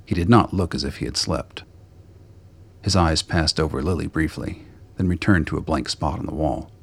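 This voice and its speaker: Radio drama narrator: man, bottomless bass, husky, delivering scripted prose. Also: normal speed. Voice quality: very deep, gravelly.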